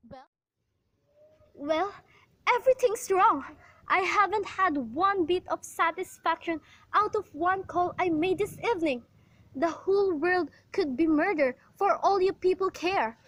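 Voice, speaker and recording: Actress as demanding, nervy invalid woman for a radio thriller; very high voice, imperious, agitated, repeats herself in exasperation. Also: unhurried, a bit bright, no room sound, rough recording. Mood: irritated, complaining. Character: nervous, demanding, bedridden invalid woman, panicky and irate. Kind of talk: amateur radio play suspense acting.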